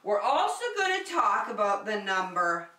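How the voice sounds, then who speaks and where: Female preschool circle-time teacher, bright and encouraging, simple repetitive phrasing, roomy distant-mic sound. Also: mid-range voice, sing-song, moderate pace. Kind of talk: preschool lesson addressed to young children. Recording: roomy echo, distant microphone.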